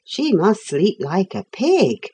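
A woman's voice: medium pitch, very animated, slow, warm tone, slightly rough, pitched above the performer's own voice, full character voice. A woman reading aloud, voicing a blunt, grumbling older cook with working-class speech.

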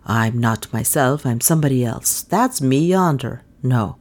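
Woman playing a low-voiced, bewildered old man. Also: animated, unhurried, a little mellow, full character voice. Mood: bewildered despair. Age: older.

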